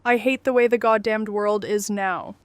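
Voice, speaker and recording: A woman's voice: medium pitch, fairly even intonation, moderate pace, no room sound, natural voice. A woman playing an upset, sardonic psychiatrist in an apocalypse audio drama, bitter and exasperated.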